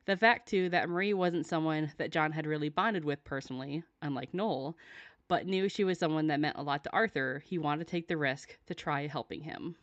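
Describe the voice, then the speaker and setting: A woman's voice: low voice, brisk. A woman giving prepared commentary as a listener's voice message, in a dull, roomy recording.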